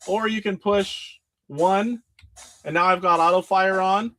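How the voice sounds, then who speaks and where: A man's voice: high voice, steady tone, a little slow, fairly bright tone, a little hiss or hum, low-quality recording. A middle-aged man hosting a casual live stream about retro games, sick and nasally with his voice starting to go. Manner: rambling, demonstrating games live. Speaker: retro gaming show host.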